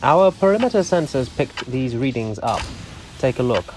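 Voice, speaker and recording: An actor in a sci-fi audio drama playing a villain's dutiful assistant, formal and deferential. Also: male voice, mid-range voice, animated, moderate pace, slight background noise, natural voice. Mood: businesslike.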